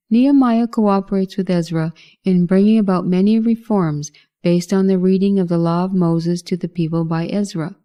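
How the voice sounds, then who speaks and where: A woman's voice: medium pitch, dark tone. A woman reading a scripted narration of Bible history as a narrator.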